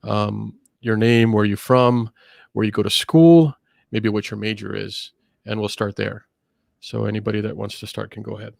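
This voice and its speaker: A middle-aged man hosts an online panel with a low, gravelly voice. He talks in a relaxed, easygoing way and leans on fillers. The sound is dry and close. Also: moderate pace, dry recording. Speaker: host.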